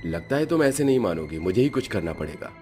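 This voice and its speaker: A man's voice: fairly low pitch, big pitch swings, fast talker, mellow, faint music behind. A man playing a firm, dismissive company boss in cartoon dialogue, curt in his refusals.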